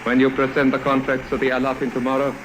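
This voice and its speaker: An actor playing a formal, scheming foreign baron who speaks precisely and insistently in a 1950s radio drama. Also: male voice, medium pitch, completely flat delivery, fast, lightly acted. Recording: noisy old 1950s radio recording.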